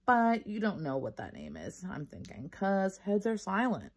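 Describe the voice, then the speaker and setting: A cheeky, stubborn little schoolgirl, acted out by a woman reading a storybook. The voice is husky and defiant, with childish grammar and a self-satisfied air. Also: mid-range voice, wildly swooping pitch, moderate pace. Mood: smug. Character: cheeky, stubborn little first-grade girl.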